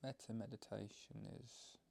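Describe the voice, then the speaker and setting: A man leading a guided meditation, explaining it gently in halting, unscripted phrases. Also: lowish voice, steady tone, creaky. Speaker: meditation teacher.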